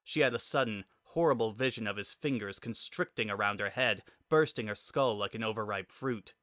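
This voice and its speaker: A man reads a dramatic story as its narrator. His voice is fairly low with a bright, forceful edge, and the recording is dry and close. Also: fairly quick, dry recording.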